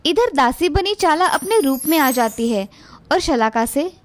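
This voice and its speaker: A woman's voice: sits high, expressive, unhurried. A woman narrating a scripted children's fairy tale as storyteller.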